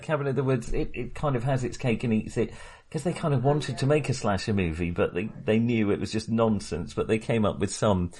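Male film podcast co-host. Low, husky voice, halting conversational delivery. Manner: hesitant, halting, self-correcting. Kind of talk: casual film discussion.

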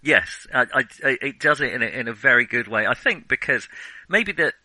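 Bright-voiced man chatting about film. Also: mid-range voice, lively intonation, a little fast, no echo, poor audio. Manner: rambling, many restarts and ums. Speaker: podcast co-host. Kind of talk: casual film discussion.

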